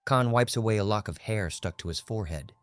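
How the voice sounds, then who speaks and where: Male narrator, low voice, grave and measured literary read, faint music behind. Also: no room sound. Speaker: audiobook narrator.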